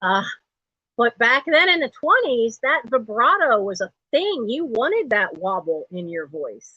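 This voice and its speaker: Chatty middle-aged woman with a low voice. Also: very animated, measured pace, pushed and bright, dry recording. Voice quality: low speaking voice for a soprano. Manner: chatty and digressive, laughs often. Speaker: host of a live online sale. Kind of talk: rambling casual live-stream chat. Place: home. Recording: dull lo-fi stream audio.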